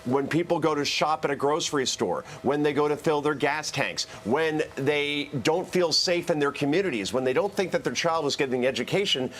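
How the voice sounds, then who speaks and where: A man's voice: fairly high pitch, fairly quick, hardly any pauses, fairly bright tone, slight background noise. An American man giving emphatic political commentary as a guest on live television, building lists and rhetorical questions.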